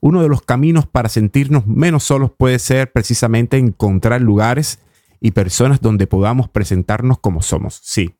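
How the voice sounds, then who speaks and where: A man with a Cuban accent presenting a reflective music radio show in an unhurried, deliberate way, pausing often. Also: medium pitch, mellow, no echo, studio quality. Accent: Cuban Spanish. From Cuba. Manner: unhurried, deliberate, with long pauses. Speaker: radio host. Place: radio studio.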